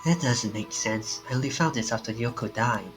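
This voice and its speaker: A man's voice: medium pitch, moderate pace, close to an everyday voice. A man playing a suspicious, confused game protagonist with blunt, questioning speech. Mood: accusing. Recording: music under the voice.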